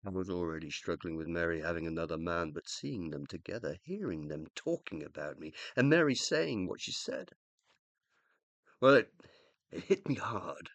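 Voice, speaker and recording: An actor playing a hurt, jealous husband who lives on as a computer consciousness in a radio play, brooding, sarcastic and defensive. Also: male voice, low voice, a bit bright, dry recording.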